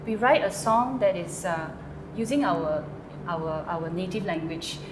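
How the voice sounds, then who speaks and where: A woman's voice: fairly low pitch, a little slow, echoey room, noisy background. A woman, a songwriter answering interview questions hesitantly, with many fillers and restarts. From Malaysia. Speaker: guest songwriter.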